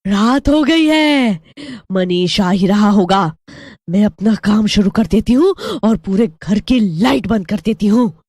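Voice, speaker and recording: A woman's voice: mid-range voice, lively intonation, slow, darkish tone, dry recording, clean studio sound. Woman voicing a scheming, swooping witch. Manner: commanding, dramatic. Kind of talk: dramatized horror storybook voice.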